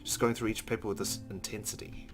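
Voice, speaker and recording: A man's voice: on the low side, level tone, fast, faint music behind. A man hosting a let's play, reading a visual novel's narration aloud with casual asides.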